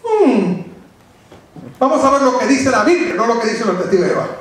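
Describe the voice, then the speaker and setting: A man's voice: very high voice, big pitch swings, fairly quick. A man preaching a doctrinal sermon to a congregation, emphatic and didactic, repeating phrases for stress and asking rhetorical questions. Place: echoey room, preaching before a congregation. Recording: very echoey, reverberant room.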